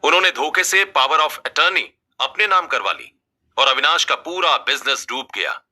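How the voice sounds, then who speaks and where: A man's voice: fairly high pitch, lively intonation, measured pace, pushed and bright. A man reading scripted narration for a family drama story as its narrator.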